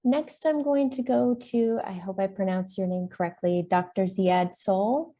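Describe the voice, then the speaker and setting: Moderator woman with a dark tone. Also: medium pitch, unhurried. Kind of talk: panel introductions on a video call. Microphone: video call.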